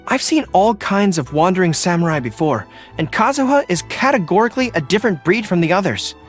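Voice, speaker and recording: A man voicing an earnest, dutiful young dog-eared general in a video game, with crisp military diction. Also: high voice, very animated, a little fast, faint music behind. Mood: admiring. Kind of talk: video game character voice acting.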